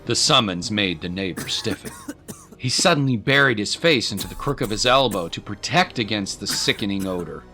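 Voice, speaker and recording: A man's voice: fairly low pitch, animated, a little fast, bright, forceful tone, soft background music. A man narrating a scripted story in a dramatic storytelling style.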